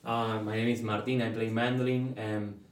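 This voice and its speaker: A man's voice: lowish voice, little pitch movement, normal speed, echoey room. A man from Buenos Aires, a mandolin player, joking playfully in a casual interview. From Buenos Aires, Argentina.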